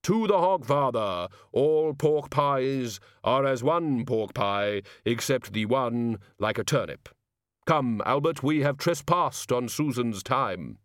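Male narrator as solemn supernatural being, ponderous slow delivery, formal, earnest, storybook figure, dry recording. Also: medium pitch, animated, no echo, pitched above the performer's own voice. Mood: grave, formal.

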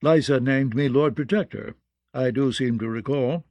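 A man's voice: medium pitch, normal speed, darkish tone, no room sound, natural voice. A man voicing a sly, courteous nobleman who speaks with dry, feigned innocence in a fantasy audiobook. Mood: mild, feigned innocence. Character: sly, unruffled nobleman, mildly mocking schemer. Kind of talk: fantasy audiobook character acting.

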